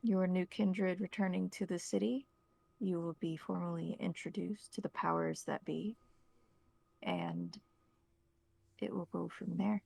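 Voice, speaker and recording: A woman playing a courteous, calculating vampire elder in a tabletop game, speaking formally with slow, careful wording. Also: lowish voice, animated, gravelly, a little mellow, breathy edge, exaggerated voice. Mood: measured, secretive.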